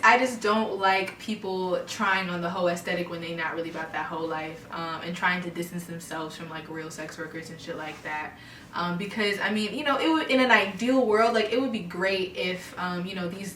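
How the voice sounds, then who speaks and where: A frank young woman from Baltimore speaks bluntly, swearing freely and filling her talk with "like" and "um." Her voice is bright with a slightly low pitch. She sits a little back from the microphone in a noticeably echoey room. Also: slight background noise. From Baltimore, USA. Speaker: interview guest. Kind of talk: casual interview chat. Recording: echoey room, voice a bit far from the mic.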